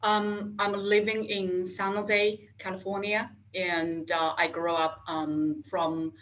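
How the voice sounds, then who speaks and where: A middle-aged woman from Japan with a Japanese accent, answering interview questions haltingly with many fillers and restarts. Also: medium pitch, level tone, a bit bright. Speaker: guest, industry specialist. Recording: dull lo-fi sound.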